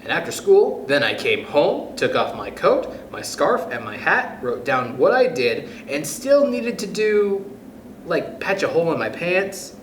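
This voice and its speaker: A man's voice: on the high side, very animated, unhurried. A man hosting a children's storytime, reading a picture book aloud expressively and talking directly to young listeners. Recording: roomy echo with faint background noise.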